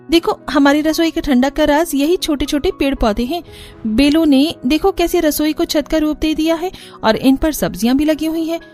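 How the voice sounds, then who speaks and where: A woman's voice: high-pitched, darkish tone, pitched above the performer's own voice, close to an everyday voice. A woman voicing a cheerful, proud young village daughter-in-law in a cartoon, explaining eagerly. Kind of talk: Hindi cartoon character dialogue.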